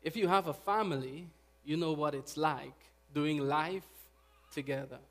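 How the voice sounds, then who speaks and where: A pastor from Guyana whose Guyanese accent colours every line of his sermon. His voice sits a little high for a man. He moves from hushed, prayerful passages to loud, emphatic preaching. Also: measured pace.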